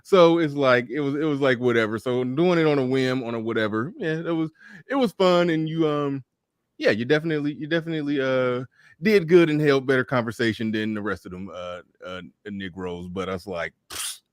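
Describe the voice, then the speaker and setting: A man's voice: mid-range voice, fairly quick, smooth, no room sound, clean studio sound. A man hosting a casual livestream, commenting in a laid-back, rambling way with frequent profanity. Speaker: host.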